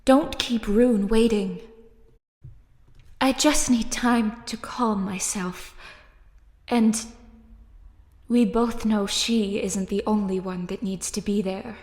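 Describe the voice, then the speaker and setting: Woman doing a stiff formal spirit pal, slow, big echoey reverb. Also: medium pitch, steady tone, darkish tone, lightly acted. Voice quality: formal, old-fashioned phrasing. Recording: roomy reverberant echo.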